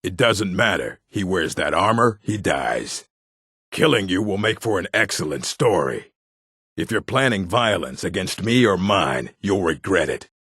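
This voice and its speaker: An actor playing a gruff, scornful male orc in a fantasy video game, with a rough voice and a weighty, emphatic delivery. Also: sing-song, measured pace, a bit bright, no room sound, clean studio sound, heavily acted. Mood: menacing. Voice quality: deep, gravelly, rough.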